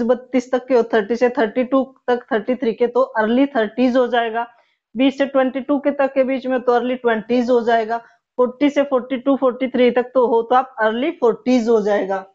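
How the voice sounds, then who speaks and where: A woman in her thirties gives a spoken English lesson. She explains in Hindi with English words mixed in, then says each English example slowly, one word at a time. Her voice sits fairly high, and the recording sounds dull and lo-fi. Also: a little mellow. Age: 30s. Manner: slow, spelled-out dictation of English example sentences. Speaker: English teacher.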